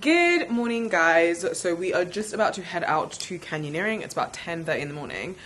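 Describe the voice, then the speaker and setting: A woman's voice: lowish voice, brisk, slight background noise. A young woman travel vlogger chatting to viewers while holding an action camera at arm's length outdoors. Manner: chatty, animated, addresses viewers directly. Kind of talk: casual vlog narration. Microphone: handheld action camera at arm's length.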